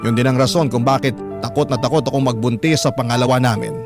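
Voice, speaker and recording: A man's voice: mid-range voice, fairly even intonation, quick pace. A man narrating a radio drama, reading a first-person letter in an emotive, confiding storytelling style. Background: prominent background music.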